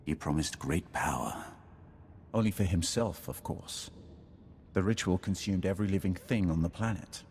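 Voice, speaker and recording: An actor playing a grave, proud Sith lord in a video game, making measured, ominous declarations. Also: male voice, low-pitched, a little hiss or hum. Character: grave Sith lord defector, proud, coldly calculating seer. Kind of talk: dramatic video game acting. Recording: loud music behind the voice.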